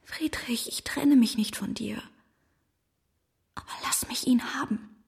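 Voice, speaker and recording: An actress playing a tender, troubled young woman in a radio drama, breathy and hushed with a hesitant, earnest manner. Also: a little high, unhurried, exaggerated voice. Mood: tearful pleading. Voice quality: breathy, often near whisper. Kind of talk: literary radio drama acting.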